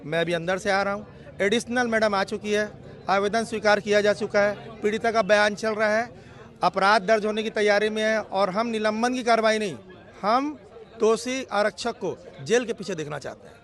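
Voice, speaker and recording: High-pitched, emphatic male activist spokesman. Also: normal speed, fairly bright tone. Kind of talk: on-the-spot news interview statement. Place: outdoors near a police station. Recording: outdoor street with crowd murmur.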